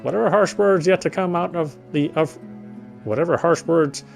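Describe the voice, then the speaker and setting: A man's voice: on the high side, moderate pace, gravelly, darkish tone. A man streaming a game, reading visual novel narration aloud in a dramatic way. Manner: dramatic reading with offhand asides. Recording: game music behind the voice.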